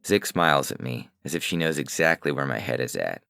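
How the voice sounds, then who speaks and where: A man's voice: rumbling low, lively intonation, fairly quick, vocal fry, bright, forceful tone, dry recording, clean studio sound. A man narrating a scripted first-person science fiction story as its reader. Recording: music bed under the voice. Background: music.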